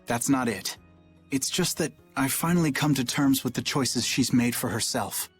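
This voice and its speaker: An actor playing an earnest, dutiful young knight in a video game, speaking politely and seriously. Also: male voice, mid-range voice, moderate pace, soft background music, lightly acted. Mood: measured.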